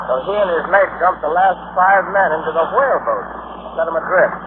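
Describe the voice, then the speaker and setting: An actor playing an earnest, anxious young sailor in a 1940s radio thriller, plain-spoken and dramatic. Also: male voice, on the high side, fairly quick, close to an everyday voice. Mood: uneasy, confiding. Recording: very noisy, tinny 1940s radio recording.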